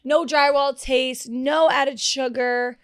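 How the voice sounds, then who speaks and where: A chatty woman in her twenties co-hosts a podcast. She sounds eager and friendly. Her voice is a touch high. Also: steady tone, takes it slowly. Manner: chatty, enthusiastic. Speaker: podcast co-host. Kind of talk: casual podcast chat and host-read ad.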